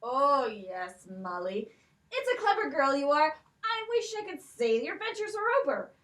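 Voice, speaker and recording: A woman's voice: high voice, sing-song, normal speed, a bit bright. A woman voicing a fussy, sentimental old king in a children's puppet show, heard through a distant mic. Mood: commanding. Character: fussy, grateful old king, fond of his gold.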